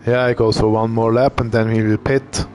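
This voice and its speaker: A man doing casual live commentary on a sim racing event. He thinks out loud as he talks and uses fillers. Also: medium pitch, moderate pace, slight background noise. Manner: relaxed, thinking aloud, with fillers.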